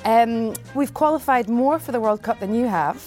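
A woman's voice: a little high, normal speed, a little mellow, faint music behind. A woman on a comedy panel show, bantering in a teasing, mock-proud way. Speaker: panel guest.

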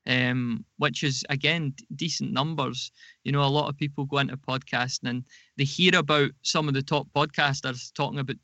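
A man with a bright, forward voice talks as an interview guest on a podcast. He explains in a conversational way, doubling back on his words. The sound is very dry and a little dull. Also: mid-range voice, no echo.

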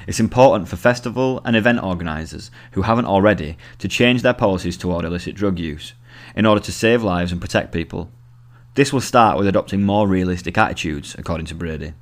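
A man narrating a scripted long-form article as the journalist who wrote it. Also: on the low side. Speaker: journalist reading own article.

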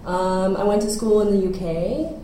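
Relaxed woman from Singapore, echoey room. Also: mid-range voice, moderate pace. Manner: relaxed, brief answers with "um" fillers and laughs. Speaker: guest, company co-founder. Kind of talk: casual interview chat. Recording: very echoey room with light noise.

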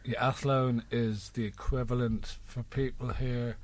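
A man's voice: medium pitch, little pitch movement, unhurried. A man hosting a podcast who chats casually and teases his guests drily. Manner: teasing, dry. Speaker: podcast host.

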